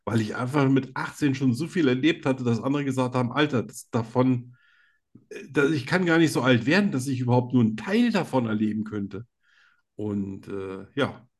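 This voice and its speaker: A man's voice: medium pitch, lively intonation, moderate pace, breathy edge. A man in his fifties co-hosting a podcast, chatting casually with teasing jokes and rambling stories. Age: 50s. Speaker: podcast co-host. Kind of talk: casual chat.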